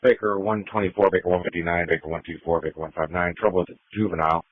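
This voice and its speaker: Male police radio voice, low and quick, clipped delivery, narrowband scanner sound. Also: fairly even intonation, no room sound.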